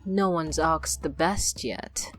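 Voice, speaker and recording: A woman's voice: low-pitched, slow pace, faint music behind. A woman playing a proud, self-assured adventurer who speaks persuasively in a fantasy audio drama.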